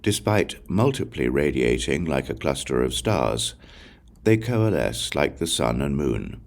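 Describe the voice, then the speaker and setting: A man reading scripture as an audiobook narrator, in a measured, solemn recitation with deliberate pauses. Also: rumbling low, very animated. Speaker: audiobook reader.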